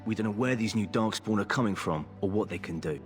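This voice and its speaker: Male actor as plain-spoken game soldier, low pitch, matter-of-fact warning tone, music playing behind. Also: fast talker, close to an everyday voice. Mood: grave, matter-of-fact. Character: seasoned, plain-spoken soldier briefing troops on monsters. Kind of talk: fantasy video game dialogue.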